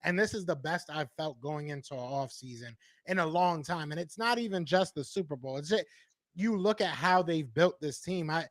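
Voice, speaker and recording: A man, hosting a casual sports chat, upbeat and quick to laugh. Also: on the high side, no room sound. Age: 30s.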